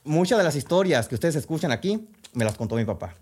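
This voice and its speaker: A man with a Sonoran Mexican accent hosting a podcast, chatting casually in a folksy, halting way with asides as he reads out messages. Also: on the high side, very animated, darkish tone, husky. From Sonora, northwest Mexico. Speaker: podcast host and storyteller. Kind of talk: casual chat, reading out listener messages.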